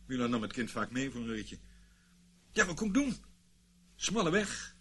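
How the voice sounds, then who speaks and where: An animated, self-justifying ex-army officer. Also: male voice, mid-range voice, moderate pace, slightly rough, heavily acted. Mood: defensive, uneasy. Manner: boastful storytelling, hesitant and defensive when challenged. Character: evasive, self-justifying British male ex-army officer, disgraced swindler. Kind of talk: Dutch radio play acting. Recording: noisy old radio play recording.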